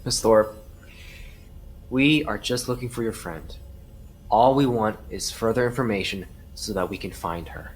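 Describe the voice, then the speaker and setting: An actor playing a skeptical, weary police detective who presses quietly in an audio drama. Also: male voice, lowish voice, unhurried, breathy edge, rough recording. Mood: firm, probing. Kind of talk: audio drama acting, interrogation and confessional narration.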